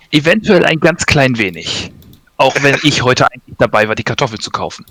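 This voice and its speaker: A man's voice: mid-range voice, sing-song, normal speed, bright, forceful tone, breathy edge, no echo. A man from Germany, joking and laughing easily as a stream co-host. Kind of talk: casual gameplay stream chat.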